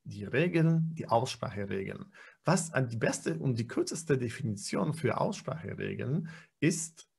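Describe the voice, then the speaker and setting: A man's voice: medium pitch, sing-song, a little slow, creaky, a little mellow, breathy edge. A man in his forties giving an online pronunciation lecture over a webcam, explaining with frequent fillers and self-corrections. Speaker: pronunciation trainer. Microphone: webcam video call.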